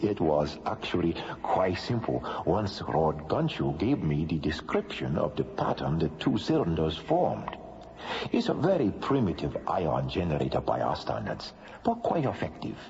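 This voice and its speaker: An actor playing a capable, self-assured scientist explorer, speaking technically in old-time radio drama style. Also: male voice, extremely low pitch, very animated, moderate pace, gravelly, darkish tone, heavily acted. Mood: confident, pleased. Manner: technical, confident. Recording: dull vintage radio recording.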